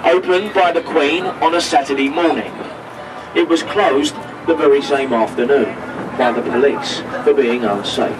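A man's voice: fairly high pitch, normal speed, music in the background. A man on a river boat crew giving chatty, jokey tour commentary over a handheld microphone. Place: open deck of a river boat, outdoors. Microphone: handheld microphone over a boat speaker, filmed from a distance. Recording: distant PA voice with boat noise.

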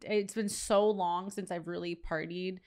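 A young woman hosts a laid-back podcast conversation. Her voice sits fairly low and turns gravelly and fry-heavy at the ends of phrases. She sounds close to a studio microphone. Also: measured pace. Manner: relaxed, chatty, lots of fillers. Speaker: podcast host. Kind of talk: casual chat. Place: decorated studio set. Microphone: studio mic on boom arm.

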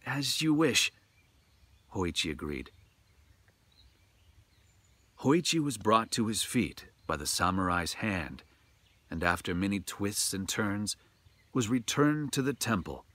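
A man's voice: on the low side, very animated, slow pace, long pauses, a bit bright, no echo. A middle-aged man reading a ghost story aloud in a dramatic, scripted storytelling style. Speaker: storyteller, narrator. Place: outdoors in a forest.

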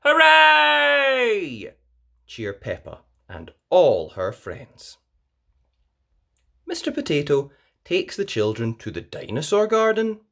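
A woman storyteller reads aloud for small children. Her pace is slow and her pitch swings widely, with expressive, singsong emphasis.

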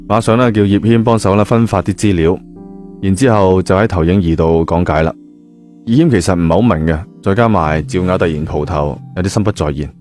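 A man's voice: low voice, darkish tone. A man with a Cantonese accent narrating a novel in a colloquial, conversational storytelling style. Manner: conversational, with frequent spoken particles. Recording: music bed behind the voice. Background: background music.

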